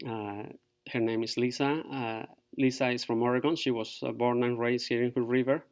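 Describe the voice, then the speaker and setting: Middle-aged teacher, halting. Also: male voice, medium pitch, fairly even intonation. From Peru. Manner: halting, many fillers. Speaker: fourth grade teacher. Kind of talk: virtual open house presentation to parents.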